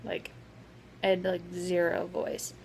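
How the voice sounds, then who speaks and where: Hesitant woman with a scratchy, gravelly voice. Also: fairly low pitch, completely flat delivery, slow pace. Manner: hesitant, full of "um" fillers. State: sore, scratchy throat. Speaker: vlogger. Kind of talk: casual vlog update.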